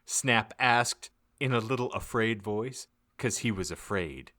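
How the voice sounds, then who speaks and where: A man giving a mock-dramatic, theatrical narration of a comedic audiobook, with deliberate emphasis. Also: fairly low pitch, bright, forceful tone. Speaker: podcast narrator.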